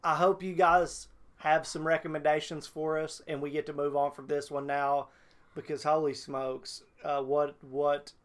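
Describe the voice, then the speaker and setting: A man's voice: on the high side, little pitch movement, measured pace. Man in casual, hyperbolic reaction chat. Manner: exasperated, hyperbolic ranting. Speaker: reaction video host.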